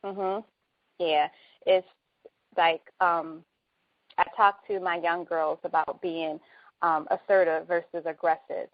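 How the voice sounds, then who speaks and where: A soft-spoken, mild-mannered woman hosting a casual conversation. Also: fairly low pitch, level tone, slow, long pauses, no room sound. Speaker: host. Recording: dull, dry lo-fi recording.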